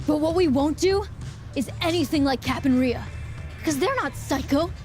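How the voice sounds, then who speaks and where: A woman's voice: high voice, moderate pace, darkish tone, soft background music, natural voice. A woman playing a principled, defiant young wrestler in a video game cutscene, speaking with earnest emphasis. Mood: firm, impassioned.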